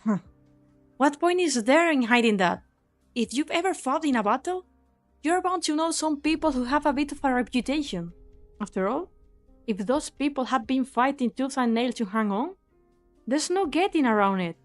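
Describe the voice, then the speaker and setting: A woman acting as a young mercenary leader with a high voice. She is cynical and unsentimental, delivering everything with a sly, taunting edge. It is anime game dub acting with soft music underneath. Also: expressive, normal speed. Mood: cool, sly.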